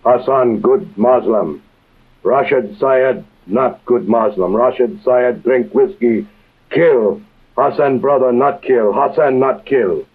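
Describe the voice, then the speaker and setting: An actor playing a humble, loyal Middle Eastern servant with an Arabic accent, speaking halting broken English in an old-time radio drama. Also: male voice, mid-range voice, slightly rough. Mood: earnest, solemn. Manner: halting broken English, short simple phrases. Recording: tinny band-limited vintage radio recording.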